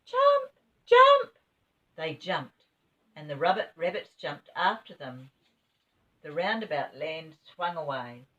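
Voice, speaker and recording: A woman's voice: low voice, lively intonation, a little slow. An older woman reading a children's story expressively, as a grandmother would. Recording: dull, distant home recording.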